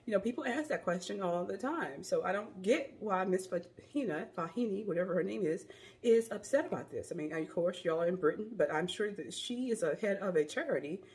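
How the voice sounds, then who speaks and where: Hoarse, gravelly woman with a cold. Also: medium pitch, expressive, a little fast, a little mellow. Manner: chatty, rambling, opinionated. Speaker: commentary vlogger. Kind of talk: casual opinion commentary. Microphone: phone.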